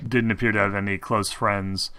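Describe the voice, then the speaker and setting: A man narrating a tabletop roleplaying game as game master, explaining things in a halting way. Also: on the low side, little pitch movement, bright, forceful tone, no room sound.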